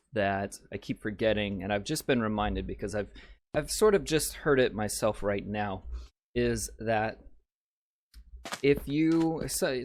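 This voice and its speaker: Male let's-play host, a touch raspy, rambling chatty delivery aimed at viewers, mid-range voice over a clear noise bed. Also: medium pitch. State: slightly raspy voice. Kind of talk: casual gameplay commentary. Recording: steady background noise.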